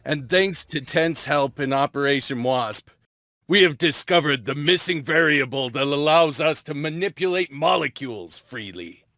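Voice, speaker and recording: A man's voice: on the high side, lively intonation, normal speed, pushed and bright, dry recording, clean studio sound. A man playing a scheming scientist doctor in an amateur fantasy drama table read, explaining things like a lecturer. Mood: lecturing.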